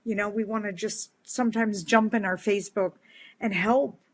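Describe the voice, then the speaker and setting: Booming earnest woman in her fifties. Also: fairly even intonation, normal speed. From Netherlands. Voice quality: big booming voice. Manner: earnest, heartfelt, sincere. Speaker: kindness movement speaker. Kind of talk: casual live-stream talk to followers.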